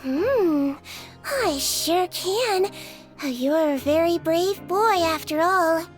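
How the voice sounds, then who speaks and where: A woman playing a small, gentle nonhuman girl doctor in a video game, reassuring and composed with a quiet slyness. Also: extremely high pitch, lively intonation, very slow, faint music behind, exaggerated voice. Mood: calm, caring. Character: small, gentle young female nonhuman doctor, calmly sly. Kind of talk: scripted video game dialogue.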